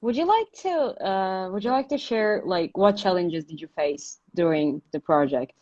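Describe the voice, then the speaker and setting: A woman's voice: fairly low pitch, lively intonation, moderate pace, a little mellow. A young woman from Turkey, casually interviewing a guest over a video call and filling her talk with "like" and "uh". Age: young adult. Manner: chatty, leans on "like" and "uh". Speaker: interviewer on a livestream. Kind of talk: casual chat. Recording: dull lo-fi livestream audio.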